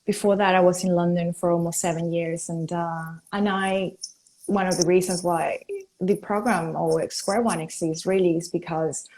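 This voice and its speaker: A woman from Venezuela, a company founder, chatting as a guest on a live video call, enthusiastic and quick, laughing often. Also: fairly low pitch, steady tone, a little mellow, poor audio. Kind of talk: casual live-stream chat.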